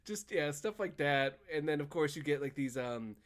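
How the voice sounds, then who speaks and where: A man co-hosting a casual chat, recapping a video game in a rambling, animated storytelling way. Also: on the high side, normal speed. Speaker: podcast co-host.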